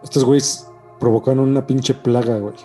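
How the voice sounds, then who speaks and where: A man's voice: medium pitch, steady tone, a little fast, dark tone, faint music behind. A man with a Mexican accent co-hosting a podcast in casual, slangy chat, often chiming in with short reactions. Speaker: podcast co-host.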